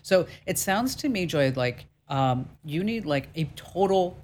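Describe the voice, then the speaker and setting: A woman's voice: lowish voice, lively intonation, unhurried, a little mellow. A woman hosting a call-in radio show, giving tech advice in a chatty, upbeat and reassuring way with many fillers.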